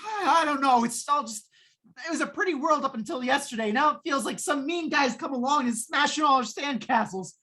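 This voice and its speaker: A woman playing a frantic, hapless working man in an old-time radio drama, stammering and flustered in a husky voice. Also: high voice, expressive, brisk, bright, forceful tone. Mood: frazzled.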